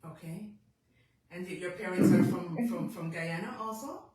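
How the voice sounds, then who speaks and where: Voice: medium pitch, moderate pace, darkish tone. A radio host and DJ running an interview, hyped and repetitive, stumbling and laughing along. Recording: very echoey, distant mic, music behind.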